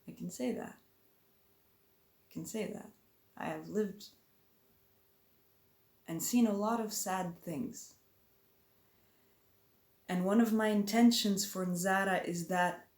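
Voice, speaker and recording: Slow, earnest woman from Poland. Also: lowish voice, reverberant. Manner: slow, earnest and gentle, with many repetitions and pauses. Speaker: speaker introducing a prayer. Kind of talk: heartfelt spoken address.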